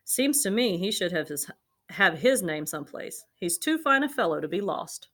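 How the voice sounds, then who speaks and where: A woman's voice: mid-range voice, very animated, moderate pace, a bit bright. A woman voicing a kindly young male artist in a storybook, musing aloud thoughtfully.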